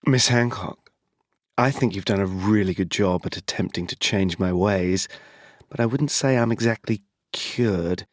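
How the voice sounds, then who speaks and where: A man acting in an audio drama as a greedy, smooth-talking, philandering husband. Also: on the low side, unhurried, dry recording, clean studio sound, lightly acted. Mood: flirtatious.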